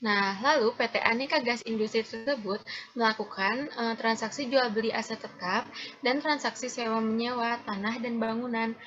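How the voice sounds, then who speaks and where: Slightly high young woman, dull recording. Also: steady tone, normal speed, a bit bright. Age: young adult. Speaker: student presenter. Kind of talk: prepared class presentation.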